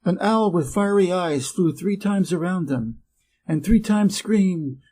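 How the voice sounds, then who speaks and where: Warm-toned American man reading a fairy tale. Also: high-pitched, measured pace, husky. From Wisconsin, USA. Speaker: reader. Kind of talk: storybook reading.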